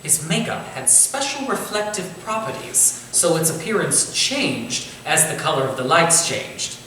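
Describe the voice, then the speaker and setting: Guy acting as a formal victorian theatre manager, critical and witty, highish voice, staged reading from far off in an echoey room. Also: big pitch swings, unhurried, bright, forceful tone, full character voice. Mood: confiding. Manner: formal, articulate, dry wit. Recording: roomy, distant stage recording, faint noise.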